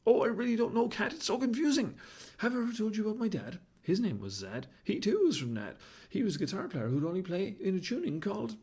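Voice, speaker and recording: A man putting on a flamboyant mad baboon, husky and high. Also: wildly swooping pitch, fast, dark tone, full character voice. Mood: rapid rhyming. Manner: pompous, sing-song rhyming, fussy and dramatic. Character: flamboyant fashion-mad male baboon, grandiose and theatrical. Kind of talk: storybook character voice.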